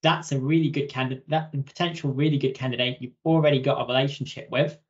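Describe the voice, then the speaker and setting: A woman's voice: a bass voice, fairly even intonation, quick pace, darkish tone. Young woman presenting, muffled call sound. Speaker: software trainer, webinar presenter. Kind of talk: informal software tutorial. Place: indoors on a video call. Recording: dull video call audio.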